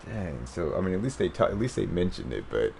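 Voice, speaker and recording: A man's voice: sits low, big pitch swings, a little fast, creaky, a little mellow, slight background noise. A man giving casual live game commentary as a streamer, slangy and musing aloud.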